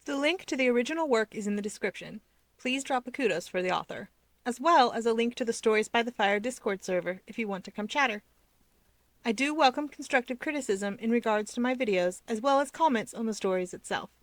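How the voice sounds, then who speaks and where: A woman reading scripted fiction aloud as an expressive story narrator. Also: fairly high pitch, brisk, no room sound.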